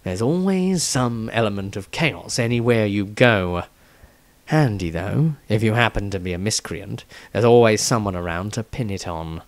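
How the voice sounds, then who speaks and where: A man's voice: fairly low pitch, lively intonation, normal speed, dry recording. A man voicing a hard-edged, cynical adventurer in a fantasy story, sardonic and understated.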